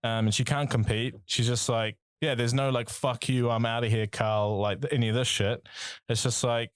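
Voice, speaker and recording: A man's voice: medium pitch, little pitch movement, moderate pace, smooth, no echo, clean studio sound. A man with a New Zealand accent co-hosting a casual music podcast, rambling and swearing with lots of fillers and restarts. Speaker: podcast co-host.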